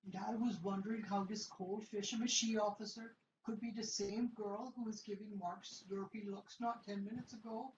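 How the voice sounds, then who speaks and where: A woman's voice: medium pitch, steady tone, moderate pace, few pauses, muffled recording, heavy background noise. Woman narrating in an echoey room. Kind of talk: amateur radio play reading.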